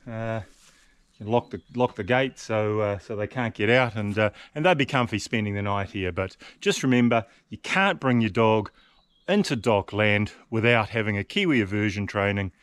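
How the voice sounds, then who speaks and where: A man's voice: on the low side, normal speed, no room sound. A man narrating a casual camping and hiking vlog to camera in a chatty, understated way, often adding little tag questions. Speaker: solo hiking vlogger.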